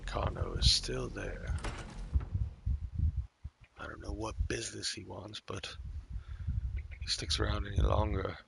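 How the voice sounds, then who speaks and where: A man roleplaying live while playing a video game, halting and thinking aloud as he goes. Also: fairly low pitch, creaky, noisy background. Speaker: streamer roleplaying a game character. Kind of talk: live in-character gameplay roleplay.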